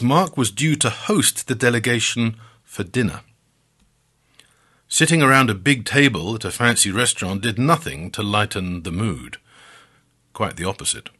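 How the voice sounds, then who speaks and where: A man narrating a scripted story as a podcast storyteller, with dramatic, measured phrasing and drawn-out emphasis. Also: lowish voice, bright, forceful tone, husky.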